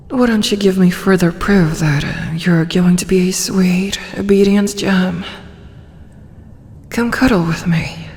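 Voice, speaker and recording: Slow, coaxing dragoness in long reverb, played by a woman. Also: lowish voice, darkish tone. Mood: possessive, coaxing. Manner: slow, coaxing, tender delivery with breathy asides. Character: possessive, flustered tsundere female dragon, doting on her captive. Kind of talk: ASMR roleplay acting.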